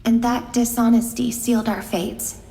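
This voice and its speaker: A woman's voice: on the high side, steady tone, normal speed, vocal fry, warm tone. An actress playing a gracious, sorrowful, resigned woman in a video game, solemn and tender. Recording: long echoing reverb under music.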